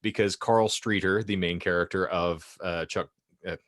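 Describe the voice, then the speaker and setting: Low-voiced man with grandiose, wordy delivery. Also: steady tone, moderate pace, a bit bright, dry recording, studio quality. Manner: wordy, mock-grandiose phrasing. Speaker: podcast co-host. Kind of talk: casual comedic podcast chat.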